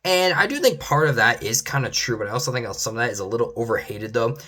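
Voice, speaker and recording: A man's voice: mid-range voice, animated, quick pace, sharp tone. A young man giving casual football predictions, rambling with many restarts and ending lines with "though", his voice sometimes giving out. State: losing his voice at times. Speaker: sports commentator.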